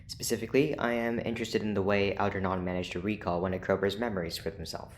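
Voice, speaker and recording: An actor playing a formal, clinical research doctor in an audio drama, speaking in a precise, measured way. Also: low voice, steady tone, a little hiss or hum, close to an everyday voice. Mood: clinical, cautiously optimistic. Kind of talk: scripted audio drama acting.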